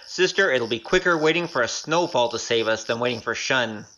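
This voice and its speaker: Woman playing a lively, glib young rogue. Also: extremely low pitch, a little fast, muffled recording, exaggerated voice. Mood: terse, urgent. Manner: wry, hesitant patter. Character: glib young male rogue gambler, wry and evasive. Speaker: voice actor in audio drama. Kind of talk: audio drama acting.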